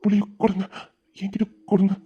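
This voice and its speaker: A woman acting a tender, protective young husband and new father in a melodramatic audio drama, with a dark husky voice. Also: mid-range voice, little pitch movement, fast talker. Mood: tearfully tender. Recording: dull, muffled lo-fi sound.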